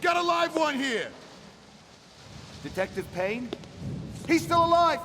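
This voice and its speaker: An actress playing an urgent police officer calling out during a raid in a video game. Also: female voice, high voice, big pitch swings, slow, heavily acted. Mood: urgent, alarmed. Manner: urgent, shouted calls. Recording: noisy scene, heard at a distance.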